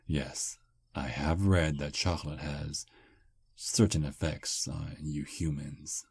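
A man voicing a charming, polite male vampire on a first date, speaking softly and flatteringly in an ASMR roleplay. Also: extremely low pitch, slow pace, breathy edge, heavily acted. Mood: smooth flirting. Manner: soft, flattering, gentlemanly. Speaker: voice actor.

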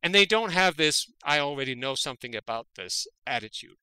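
A man's voice: on the high side, lively intonation, a little fast, bright, forceful tone, clear, resonant tone, no room sound, poor audio. A man from Denmark, a veterinary parasitologist, speaking as a guest in an interview. He talks earnestly and often repeats words as he gathers his thoughts. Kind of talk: conversational interview.

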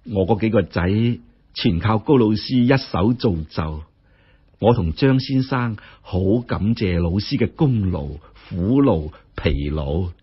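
A man voicing a gracious, well-to-do wife in a story, with polite, measured speech. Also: lowish voice, lively intonation, husky, full character voice. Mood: gracious, grateful. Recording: dull, muffled lo-fi audio.

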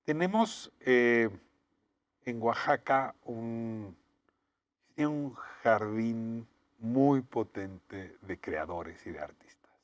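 A middle-aged man, hosting a studio interview with measured, reflective questions. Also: medium pitch, expressive, no room sound. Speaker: interview host. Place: indoor TV studio set. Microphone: lapel.

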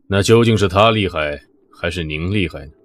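An actor playing a loyal young disciple who asks quick, eager questions in an audio drama. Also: male voice, low-pitched, lightly acted.

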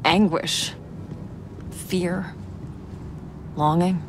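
A woman playing a calm, caring, wry investigator who senses spirits, in measured video game dialogue and narration. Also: fairly low pitch, noisy background. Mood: reflective. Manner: measured, musing to herself.

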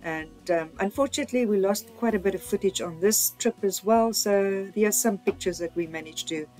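A woman hosts a travel vlog with a middle-pitched voice. She narrates casually and chats as she goes. Music plays clearly behind her. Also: normal speed, a little mellow. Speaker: vlog host.

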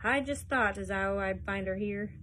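A woman's voice: medium pitch, moderate pace, close to an everyday voice. A woman putting on a sneering, mocking little man. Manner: mocking, sly, loud. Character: small thin sneering man, mocking dog dealer.

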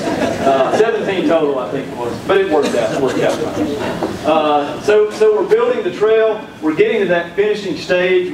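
A man in his thirties from South Carolina with a Southern accent, giving a conference keynote with folksy, humorous storytelling on a handheld mic. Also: on the high side. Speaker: keynote speaker. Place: conference room, indoors. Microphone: handheld mic, recorded from far back. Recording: echoey room from a distance.

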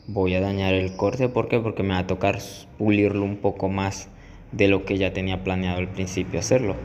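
A man with a low voice explains a technique step by step, as a barber would in a tutorial. His delivery stays flat and even. The recording rings with room echo and carries clear background noise. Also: fairly quick, muffled recording. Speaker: barber teaching a haircut tutorial. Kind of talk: instructional voice-over tutorial.

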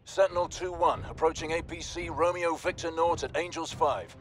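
An actor playing a focused soldier on a combat drop, using clipped military phrasing in a video game. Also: male voice, fairly high pitch, normal speed, lightly acted. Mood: focused, businesslike. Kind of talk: video game mission chatter. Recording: loud music and vehicle noise.

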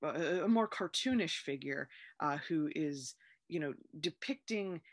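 A woman's voice: low voice, expressive, a little slow, dry recording, studio quality. A young woman giving an academic film talk over a video call, with many fillers and restarts. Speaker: film scholar presenting on a panel. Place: home room with bookshelves. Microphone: webcam on a video call.

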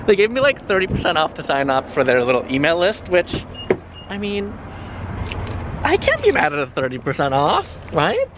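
A young man chatting casually to a handheld camera, gushing excitably over what he finds in a car and in stores. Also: fairly high pitch, normal speed, dull audio, noisy background. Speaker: vlogger. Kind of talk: casual vlog chat to camera. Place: car, showroom and store, indoors. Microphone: handheld camera at arm's length.

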